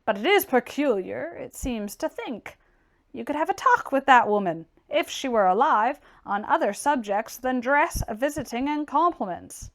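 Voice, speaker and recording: Woman voicing a blunt, loud, scornful gentleman. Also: high voice, expressive, normal speed. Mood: opinionated, dismissive. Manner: brusque, mocking, emphatic exclamations. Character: blunt, opinionated, scornful young tradesman gentleman. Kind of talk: dramatized audiobook character reading.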